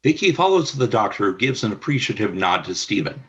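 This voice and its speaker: A man reading the stage directions of a fan radio play in an even, descriptive way. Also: on the low side, a little fast, lo-fi sound. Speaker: narrator.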